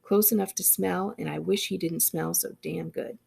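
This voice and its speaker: Creaky, husky woman reading a story. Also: fairly low pitch, fairly even intonation, moderate pace, a little mellow. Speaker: librarian reading aloud. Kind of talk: expressive audiobook narration.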